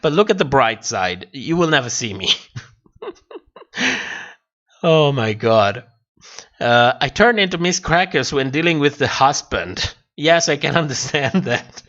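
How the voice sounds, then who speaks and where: A man's voice: mid-range voice, animated, unhurried, pauses often, fairly bright tone, highs cut off. A man hosting a casual livestream, answering viewer comments in a rambling way and repeating phrases as he thinks aloud. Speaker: livestream host.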